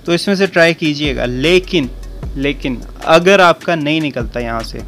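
A man's voice: a little high, moderate pace, a bit bright. A man giving a casual, conversational explainer for a mobile game video, speaking directly to his viewers. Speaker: gaming video creator. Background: music.

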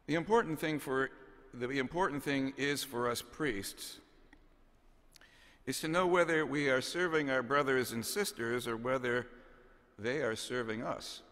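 A man in his sixties, a bishop delivering a homily from notes in a measured, solemn, deliberate way with pauses, speaking into a pulpit microphone in a large cathedral. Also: medium pitch, a bit bright. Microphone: gooseneck pulpit microphone. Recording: long cathedral reverb.

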